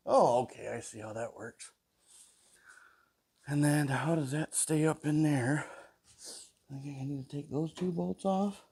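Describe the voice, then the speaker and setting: A man's voice: medium pitch, vocal fry, mellow, slightly rough. A man with a stuffy nose talking to himself while he takes apart an old mower in his workshop, humming between tasks. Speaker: small engine repair vlogger. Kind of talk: casual talk while working.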